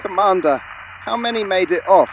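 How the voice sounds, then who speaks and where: A man's voice: sits high, animated, normal speed. A man playing a troubled, insistent fleet subordinate, pressing and emotive over a muffled, noisy radio.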